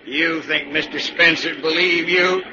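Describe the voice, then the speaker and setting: A man's voice: fairly high pitch, steady tone, measured pace, lightly acted. An actor playing a scheming, sneering logging foreman in an old-time radio drama, pushy and scornful in manner. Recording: muffled, noisy old radio recording.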